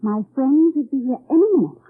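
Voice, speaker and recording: A woman's voice: sits high, lively intonation, fairly quick. An actress playing a guarded, evasive young Austrian woman in an old-time radio drama, careful and hesitant. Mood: nervous, evasive. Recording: dry, tinny old radio recording.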